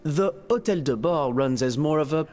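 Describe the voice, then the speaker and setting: A man voicing a polite, apologetic gentleman hotel manager in a video game, hesitant and diplomatic. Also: on the high side, lively intonation, normal speed, close to an everyday voice. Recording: music behind the voice.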